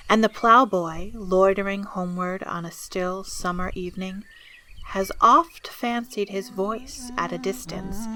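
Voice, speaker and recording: A woman's voice: mid-range voice, a little hiss or hum. A woman narrating a scripted audio drama in a theatrical, storytelling manner. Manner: theatrical, swelling from hushed to loud.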